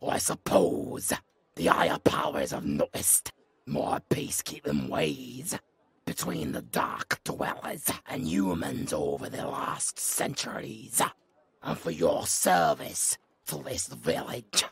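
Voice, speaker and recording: Slow, growling goblin lord. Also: male voice, extremely low pitch, big pitch swings, exaggerated voice. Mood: solemn, proud. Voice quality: gravelly, growling, breathy. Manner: formal, measured, solemn. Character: grave old male goblin lord, proud, gravelly, peaceable leader. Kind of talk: fantasy audio roleplay acting.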